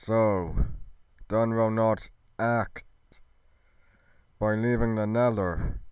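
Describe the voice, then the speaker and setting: Guy doing a brooding fantasy noble monologue, really smooth clear voice, super dry close sound, solemn and formal. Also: on the low side, steady tone, darkish tone, no echo. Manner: solemn, formal, deliberate delivery. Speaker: creator narrating a Minecraft roleplay in character. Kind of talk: scripted dramatic monologue.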